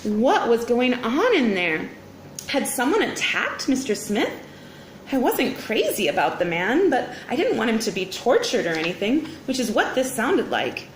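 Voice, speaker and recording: Animated, high-pitched woman reading a story. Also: unhurried. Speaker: teacher reading aloud. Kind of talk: expressive children's story read-aloud. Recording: roomy echo, faint background noise.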